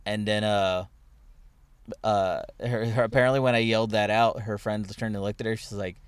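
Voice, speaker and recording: Low-voiced young man, rambling stories. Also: fairly even intonation, moderate pace, clear, resonant tone, dry recording. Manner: rambling storytelling, many restarts and fillers. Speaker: podcast host. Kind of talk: casual chat.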